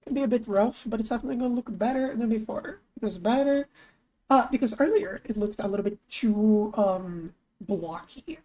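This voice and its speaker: A young woman streamer chatting casually as she works, thinking aloud, her voice lower than usual today, with bursts of high excitement. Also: moderate pace, low-quality recording. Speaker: streamer and artist. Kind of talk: casual live-stream chat while working.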